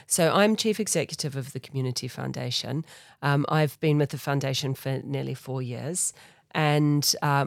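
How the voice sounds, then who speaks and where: A woman's voice: extremely low pitch, no echo, studio quality. A middle-aged woman with a New Zealand accent, a charity chief executive speaking thoughtfully and earnestly as a podcast guest. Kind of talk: conversational interview.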